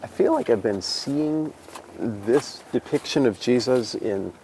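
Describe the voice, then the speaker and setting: A man's voice: medium pitch, animated, slow, mellow, slight background noise, rough recording. A man hosting a show, asking curious, reflective questions while being shown around a monastery garden. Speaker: host interviewing a monk. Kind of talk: curious casual interview.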